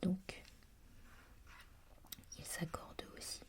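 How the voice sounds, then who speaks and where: Whispering woman, soft and musing. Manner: whispering throughout; soft and musing, pausing to comment. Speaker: reader. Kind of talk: whispered reading of a language book.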